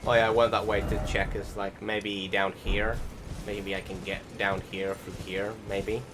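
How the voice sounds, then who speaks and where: A man's voice: fairly low pitch, flat delivery, a little slow, background noise. A man giving casual, rambling and hesitant commentary over a video game, swearing now and then. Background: game music behind the voice.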